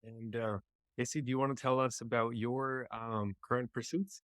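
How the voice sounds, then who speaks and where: Casting: Canadian-raised developer, mid-pitch, measured and plain, dry close sound. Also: male voice, steady tone, a bit bright, dry recording. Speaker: guest, property developer. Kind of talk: casual video chat.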